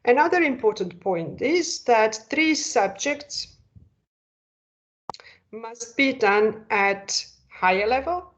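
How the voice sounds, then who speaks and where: A woman presenting an online school information session, explaining patiently and asking rhetorical questions. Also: medium pitch. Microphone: video call. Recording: dull lo-fi video call audio.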